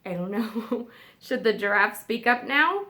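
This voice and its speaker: Animated high-pitched woman reading to kids. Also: unhurried. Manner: expressive, asks listeners questions. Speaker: kindergarten teacher. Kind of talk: children's read-aloud, explaining to young listeners.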